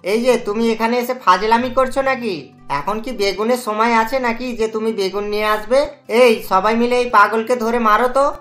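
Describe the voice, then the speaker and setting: A man's voice: very high voice, moderate pace, pushed and bright, soft background music. A man voicing an angry, threatening member of a market mob in a cartoon. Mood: furious.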